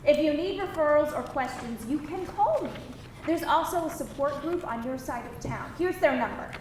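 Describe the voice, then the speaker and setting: An actress playing concerned, measured women, a neighbour and a caseworker, in a live screenplay table read. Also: female voice, high voice, expressive. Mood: firm, sympathetic. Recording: very echoey live room, faint noise.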